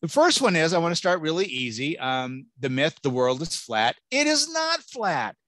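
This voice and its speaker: A man's voice: on the high side, very animated, moderate pace, fairly bright tone. A middle-aged man from Southern California giving a chatty, reassuring admissions talk to parents over a video call, with jokes and acted-out quotes. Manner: chatty, reassuring, jokey, acts out quoted voices. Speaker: college admissions speaker. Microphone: computer mic on a video call.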